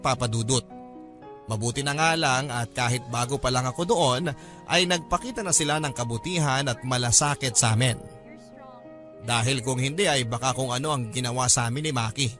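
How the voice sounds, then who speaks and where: A man's voice: mid-range voice, a bit bright, lightly acted. A man with a Filipino accent voicing an earnest, caring letter writer who narrates a radio drama in a respectful storytelling manner. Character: earnest, caring male friend recounting his story by letter. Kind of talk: scripted first-person radio drama narration.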